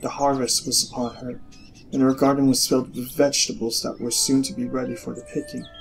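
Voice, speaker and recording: Male storyteller narrating over soft music. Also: mid-range voice, low-quality recording. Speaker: audiobook narrator.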